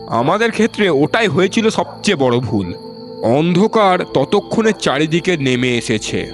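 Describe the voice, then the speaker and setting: A man narrating a scripted first-person ghost story in a storyteller's style. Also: fairly high pitch.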